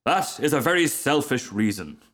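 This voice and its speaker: A man's voice: mid-range voice, lively intonation, normal speed, voice pushed up, natural voice. A man voicing a pompous, easily offended firework rocket in a storybook, with haughty, emphatic, self-pitying declaiming. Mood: indignant, self-important. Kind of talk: storybook character voice.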